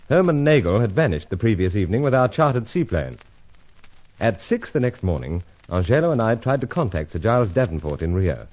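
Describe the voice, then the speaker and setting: A man acting a steady, gentlemanly British secret agent with clipped, composed speech in an old-time radio adventure serial. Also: on the low side, highs cut off. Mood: grave narrating.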